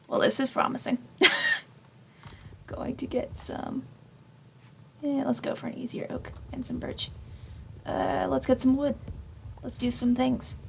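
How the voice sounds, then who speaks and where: Woman gamer, slightly raspy, gravelly, lively pitch, rambling self-deprecating commentary, faint keyboard noise behind. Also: takes it slowly, pauses often. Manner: rambling, self-deprecating, talks to herself while playing. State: slightly raspy from long talking. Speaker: gaming channel host. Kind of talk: casual Minecraft let's play commentary.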